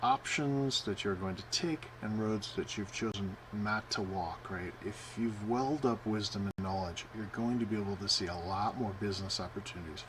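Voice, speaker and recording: Guy preaching, lowish voice, lots of uhs and right?, little bit of hiss behind. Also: normal speed, few pauses. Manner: rambling, many fillers, asks "right?" often. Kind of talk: informal sermon.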